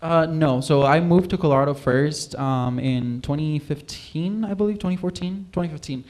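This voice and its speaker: A man's voice: fairly high pitch, normal speed, darkish tone. A young man from Puerto Rico, a musical guest talking casually in an interview, rambling with many ums and likes. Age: young adult.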